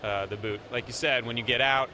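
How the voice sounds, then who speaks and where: A man representing a company, explaining product features in a steady way with frequent pauses. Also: medium pitch, slight background noise.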